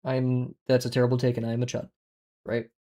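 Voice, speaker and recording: Hombre joven charlando casualmente en directo. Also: male voice, mid-range voice, monotone, a little fast, dark tone. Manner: rambling, conversational, frequent fillers, self-deprecating. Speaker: art streamer. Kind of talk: casual livestream chat while drawing. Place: small home room, indoors. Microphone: headset with boom mic.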